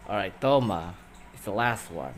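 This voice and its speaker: A man giving casual gameplay commentary in loose, halting, broken phrases. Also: on the low side, animated, noisy background. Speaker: gameplay video host. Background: loud game music.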